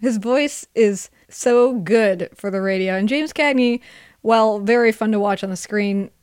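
A woman's voice: medium pitch, unhurried, darkish tone, smooth, no room sound. Woman hosting, close dry sound. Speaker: podcast host. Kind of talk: casual interview chat.

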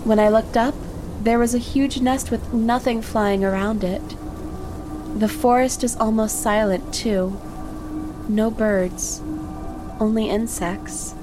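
A woman's voice: a little high, fairly even intonation, slow, background noise. A woman playing a young hiker reading her trail journal in horror audio fiction, wry, blunt and rattled, in a conversational, sweary way. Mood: frightened. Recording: music bed under the voice.

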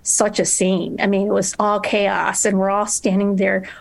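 A woman's voice: mid-range voice, little pitch movement, normal speed, gravelly. A woman guest telling a story in casual chat, laughing often as she goes.

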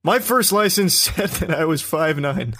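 A man's voice: a little high, animated, measured pace, a bit bright. Dry, sarcastic man in his twenties. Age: 20s. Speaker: podcast co-host. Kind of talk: casual chat.

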